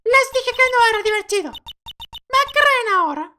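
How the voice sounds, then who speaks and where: Very high, haughty female prosecutor. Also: animated, a little slow, pushed and bright, faint music behind, full character voice. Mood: dismissive. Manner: curt, imperious, contemptuous. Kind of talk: video game dialogue read aloud with character voices.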